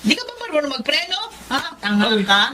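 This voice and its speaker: An actress playing a haughty, short-tempered rich employer in a Filipino drama, scolding with a Filipino accent. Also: female voice, high-pitched, very animated, fast. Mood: irritated, scolding. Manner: scolding, mixes English into Tagalog. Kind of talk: Filipino radio drama acting. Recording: loud noise, vehicle sounds behind.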